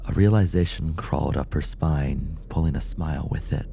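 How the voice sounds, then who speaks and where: A man narrating a scripted horror audiobook in a deliberate, suspenseful, dramatic style. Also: a bass voice, vocal fry, warm tone, a little hiss or hum.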